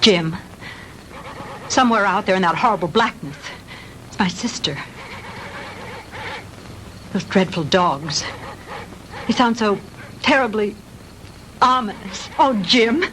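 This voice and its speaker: A troubled but determined woman in a 1960s B-movie melodrama. Her medium-pitched voice is earnest and anxious, on a slightly noisy old film soundtrack. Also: lively intonation, slow pace, pauses often, fairly bright tone. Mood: distraught. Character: anxious, determined woman searching for missing sister.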